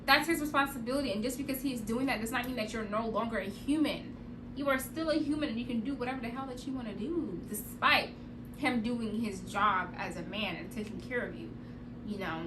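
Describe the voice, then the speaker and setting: A woman's voice: on the high side, a little fast. A woman podcast co-host in casual chat, speaking emphatically and repeating her points. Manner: emphatic, repetitive, preachy. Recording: echoey room with background noise.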